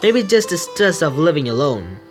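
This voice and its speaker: Male let's-player, slightly high pitch, stumbles through dramatic on-screen text over background music. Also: sing-song, normal speed. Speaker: video game streamer. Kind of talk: reading visual novel text aloud with casual commentary. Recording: music under the voice.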